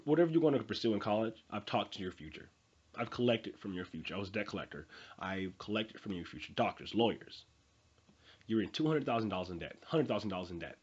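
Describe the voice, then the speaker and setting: A man's voice: lowish voice, slightly rough, lo-fi sound. A man in his twenties giving an earnest, unscripted motivational talk, repeating phrases and restarting often. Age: 20s. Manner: earnest, repetitive, with many fillers and restarts. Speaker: motivational vlogger.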